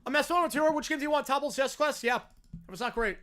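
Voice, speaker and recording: Hoarse, high-voiced male sports streamer. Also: quick pace, a bit bright. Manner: chatty, reads and answers viewer comments. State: hoarse, voice worn out. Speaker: livestream host. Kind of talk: casual live sports reaction chat.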